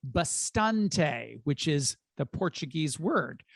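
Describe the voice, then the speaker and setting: A man hosting a personal finance podcast, asking questions in a measured, reflective way. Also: on the high side, big pitch swings, creaky, husky, dry recording, clean studio sound. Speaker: podcast host. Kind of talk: conversational interview.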